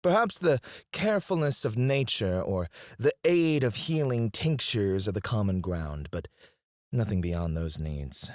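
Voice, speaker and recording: Guy doing a snooty royal alchemist for asmr roleplay, lowish voice, very animated, up close and dry. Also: unhurried, dry recording. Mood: cool disdain. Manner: haughty, formal, theatrical delivery. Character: cocky, disdainful male royal alchemist, sharp-witted and proud. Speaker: voice actor in audio roleplay. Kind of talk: scripted ASMR fantasy roleplay acting. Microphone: close, dry studio mic.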